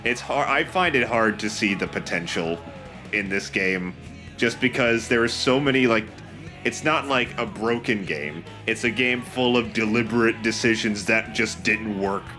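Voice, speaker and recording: A man's voice: on the low side, normal speed, sharp tone, faint music behind. A man co-hosting a let's play, chatting casually with a dry, wry way of talking. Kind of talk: casual gaming commentary chat.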